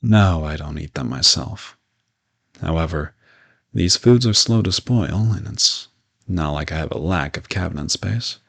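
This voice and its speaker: Man acting as male vampire, deep voice, vocal fry, courteous, reassuring, matter-of-fact, conversational audio roleplay. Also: animated, measured pace, a bit bright, heavily acted. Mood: calm, reassuring. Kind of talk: roleplay audio drama addressed to the listener.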